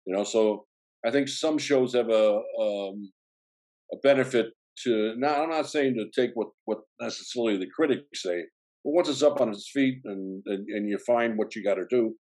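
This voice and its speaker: A man's voice: on the low side, normal speed, a little mellow. A man with a strong Chicago accent, an actor telling his story in an interview with many fillers and restarts. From south side of Chicago. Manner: rambling, full of "you know" fillers and restarts. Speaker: actor guest.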